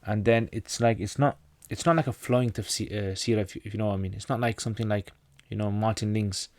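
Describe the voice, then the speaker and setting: A low-pitched man from North Pakistan whose first language is Pashto, reading out viewer questions and answering them in a relaxed livestream. His delivery is plain, with frequent restarts. The sound is close and dry, with no room echo. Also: fast. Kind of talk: casual livestream Q&A.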